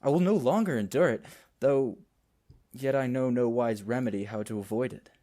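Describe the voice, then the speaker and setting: A man's voice: mid-range voice, moderate pace, dark tone, lightly acted. Man acting an aggrieved, defiant young nobleman. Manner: declamatory, impassioned. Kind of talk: Shakespearean radio play acting.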